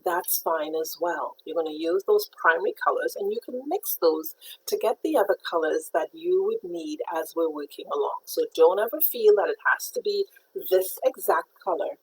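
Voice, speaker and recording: A woman's voice: lowish voice, expressive, moderate pace, slight background noise, poor audio. A middle-aged woman from Trinidad teaching a live painting lesson, explaining supplies step by step and greeting viewers by name. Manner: patient, step-by-step instruction. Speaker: art teacher.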